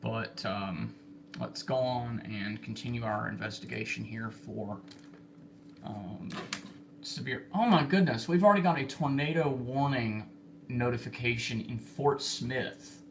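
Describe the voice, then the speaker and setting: Gravelly American man on a noisy livestream. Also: mid-range voice. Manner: halting, thinking aloud while busy. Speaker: livestreamer spotting severe weather. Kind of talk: casual livestream commentary while logging warnings. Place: indoor room with computer and radio equipment. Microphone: phone set in a fixed spot. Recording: noisy amateur livestream with room hiss.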